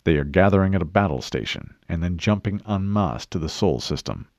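A man voicing a calm, stoic military commander in an audiobook, with a terse, measured and authoritative delivery. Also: low-pitched, no room sound, studio quality. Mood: businesslike. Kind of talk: audiobook character voice.

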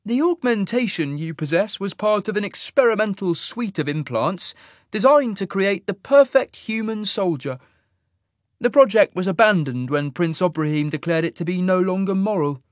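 A man's voice: high-pitched, animated, no room sound, clean studio sound, voice pushed up. A man doing a cold, lofty alien officer. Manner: lofty, measured, formal. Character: cold, alien male elf-like officer, haughty and precise.

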